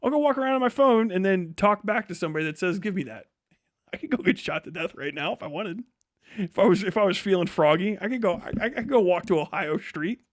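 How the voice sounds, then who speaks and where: Male American livestream commentator, high-pitched, blunt and profane, casual opinionated delivery, very dry sound. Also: expressive, fast talker, no room sound. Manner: profane, blunt, scornful, slangy. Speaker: livestream host and political commentator.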